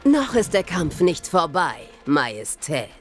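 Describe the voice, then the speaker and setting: An actress playing a menacing dark-side assassin commander, imperious, cold and deliberate. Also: female voice, low-pitched, very animated, faint music behind. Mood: defiant.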